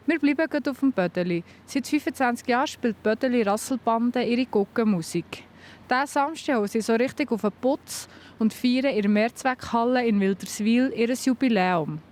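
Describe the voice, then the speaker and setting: A woman with a Swiss German accent reads the news links in a fairly high voice. Her delivery is brisk and smooth. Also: a little mellow. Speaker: news presenter. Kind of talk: scripted news reading.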